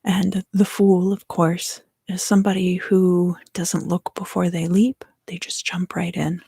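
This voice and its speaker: A woman giving an ASMR tarot reading in a soft, unhurried whisper. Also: fairly low pitch, flat delivery, creaky, slightly rough. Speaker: tarot reader and ASMR host.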